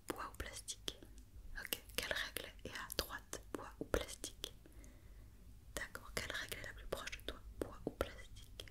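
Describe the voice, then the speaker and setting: A woman doing a whispered ASMR roleplay as a doctor, patiently and gently repeating questions and reassurances.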